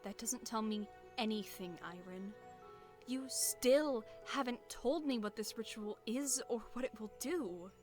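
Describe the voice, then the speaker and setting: A woman's voice: fairly high pitch, a little slow, a little mellow, soft background music, lightly acted. A woman playing a guarded young adventurer in an audio drama, speaking plainly and casually. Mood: anxious.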